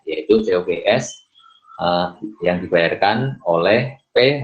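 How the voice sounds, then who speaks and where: Low-pitched man lecturing, dull recording. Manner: halting, often repeats words and checks with "ya". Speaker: accounting lecturer. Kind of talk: explanatory lecture with worked examples.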